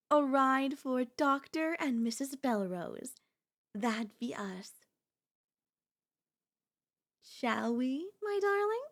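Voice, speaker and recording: A high-pitched, sweetly possessive yandere girlfriend, acted by a woman for an ASMR roleplay. She is theatrical and insistent, coaxing her beloved with flowery endearments and elegant teasing. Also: exaggerated voice. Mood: delighted. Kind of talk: ASMR audio roleplay acting.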